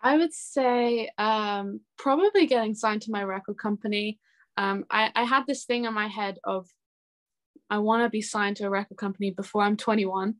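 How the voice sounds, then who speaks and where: A woman in her twenties speaking as an interview guest in a casual, chatty and expressive way. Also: medium pitch, moderate pace. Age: 20s. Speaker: interview guest, singer-songwriter.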